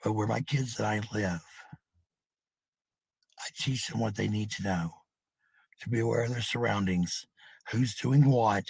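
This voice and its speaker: A middle-aged man with a gravelly, husky voice talking casually to viewers in a blunt, profane, rambling monologue, repeating phrases for emphasis. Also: fairly low pitch, unhurried, long pauses, no room sound.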